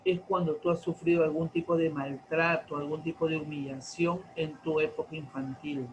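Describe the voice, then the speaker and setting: A man, lecturing teenagers in class, slow and deliberate, stretching key terms and asking many rhetorical questions. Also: fairly high pitch, level tone, muffled recording, background noise. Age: 40s. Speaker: teacher.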